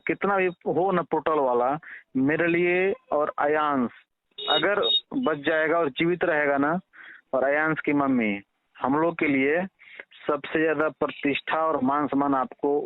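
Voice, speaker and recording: A man's voice: on the high side, unhurried, no room sound. Bihari man on a tinny phone line. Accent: Bihari Hindi. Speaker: father appealing to a reporter. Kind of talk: casual phone conversation. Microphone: telephone. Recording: tinny, narrow-band phone call recording.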